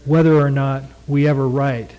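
A man, a former student, reading aloud a prose tribute he wrote, halting and soft-spoken between passages and slow and measured as he reads. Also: mid-range voice, steady tone, highs cut off.

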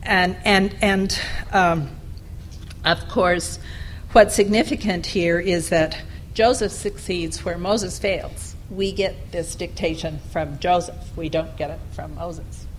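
Woman reading her conference paper at a podium mic, lowish voice, bit of hum behind. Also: fairly even intonation, rough recording. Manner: measured reading of a prepared paper. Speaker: conference presenter. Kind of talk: academic presentation and Q&A. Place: lecture room. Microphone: podium microphone.

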